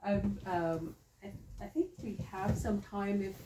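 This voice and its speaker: A woman's voice: mid-range voice, fairly even intonation, a little slow. A woman moderating a writer's talk at a bookshop, giving brief encouraging replies. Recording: roomy echo, distant mic, some noise.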